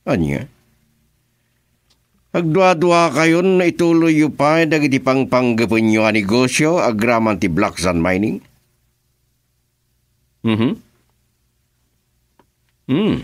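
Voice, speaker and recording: An actor playing a scheming, menacing male boss in a radio drama, with a slow, mocking way of talking. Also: mid-range voice, animated. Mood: probing. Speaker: boss on a phone call.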